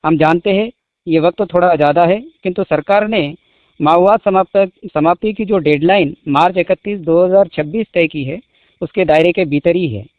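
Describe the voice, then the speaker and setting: Guy w high voice reading a formal statement in short careful phrases, tinny phone call recording. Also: normal speed, no room sound. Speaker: spokesman.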